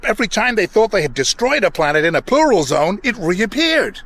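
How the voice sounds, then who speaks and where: A man playing a brash, vain alien ex-president in a comic radio drama, slangy, boastful and excitable. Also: high-pitched, brisk, full character voice.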